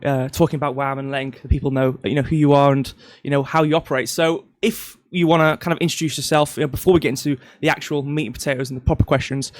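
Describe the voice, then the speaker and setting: A man's voice: a little high, no room sound. A male podcast host asking rambling interview questions full of "you know". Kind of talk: scripted intro then interview questions.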